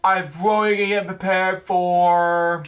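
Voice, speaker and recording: A young man with a noticeably high voice hosts a homemade bedtime story series. He speaks casually, moving between reading and chatting. His delivery is halting and rambling, with phrases often repeated. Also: flat delivery. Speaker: host of a read-aloud bedtime story series. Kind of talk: casual read-aloud and chatty vlog.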